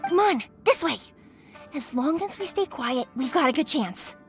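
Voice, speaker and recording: A woman's voice: high voice, lively intonation, moderate pace, faint music behind. A young woman voicing a cheerful, playful fireworks shop owner in a video game dub, bubbly and giggly with folksy phrasing.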